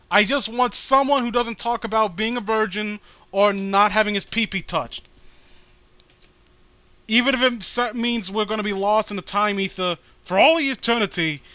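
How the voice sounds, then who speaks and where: Casting: man with a high, clear voice, sweary and rambling, for off-the-cuff riffing commentary. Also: pushed and bright, no echo. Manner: profane, ranting, rambling. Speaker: co-host of a comic read-along video.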